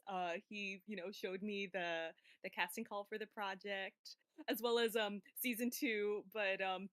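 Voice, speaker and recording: A woman's voice: mid-range voice, a bit bright, dry recording, studio quality. A woman voice actor answering in a casual livestream Q&A, chatty and earnest with many fillers. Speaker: voice actor guest.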